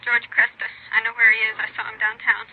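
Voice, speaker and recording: A woman acting as a distraught, needy wife in a mid-century radio crime drama. Her voice is high and pleading, on a noisy, narrow-band vintage broadcast recording. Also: monotone, a little fast, close to an everyday voice. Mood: urgent.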